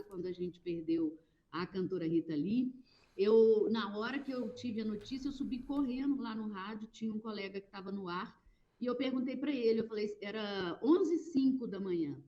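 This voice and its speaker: Mellow-voiced woman on a dull line. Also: medium pitch. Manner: reflective, explaining with pauses and restarts. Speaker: radio programmer, guest. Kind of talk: conversational interview.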